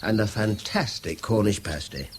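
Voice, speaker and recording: A man's voice: fairly low pitch, normal speed, slight background noise, natural voice. An actor playing a witty, beleaguered, educated Englishman in a 1970s radio drama, wordy and articulate with a dry manner. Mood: playful, wry. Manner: wry, wordy, theatrically articulate.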